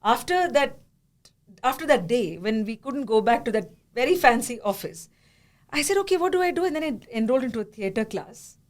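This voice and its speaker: Woman podcast host, a bit high voice, lively, laughs a lot while she talks. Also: a little fast. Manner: animated, laughing storyteller. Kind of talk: podcast conversation.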